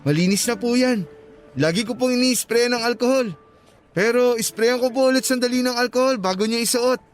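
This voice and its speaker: A man's voice: extremely high pitch, brisk. A man playing a polite, chatty, careful young motorcycle taxi driver in a radio drama, eager and courteous.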